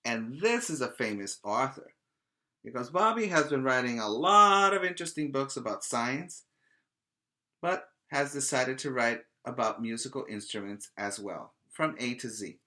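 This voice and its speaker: A man teaching preschoolers by reading aloud in a deliberate, emphatic way, pausing to put questions to his young listeners. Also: medium pitch, animated, pushed and bright. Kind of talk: read-aloud lesson for young children.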